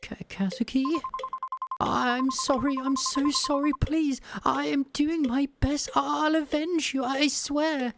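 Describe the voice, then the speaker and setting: A man voicing a terrified, grieving young person who stammers and begs for forgiveness in a high, tearful voice. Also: steady tone, slow, creaky, a bit bright, faint music behind, full character voice. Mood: desperate pleading.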